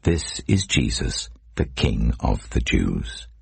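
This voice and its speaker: A man voicing a scornful mob member with slow, drawn-out jeers in a dramatised scripture reading. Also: very deep voice, big pitch swings, creaky, breathy edge, dry recording, voice pushed down, full character voice. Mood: mocking. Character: scornful mob of jeering men at an execution.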